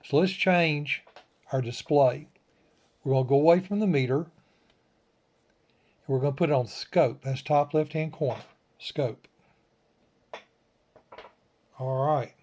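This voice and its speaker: A man's voice: a little high, measured pace, pauses often, darkish tone. A man hosting a radio tutorial in a folksy, plain-spoken way, walking through an informal step-by-step demonstration in short phrases. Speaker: ham radio tutorial host.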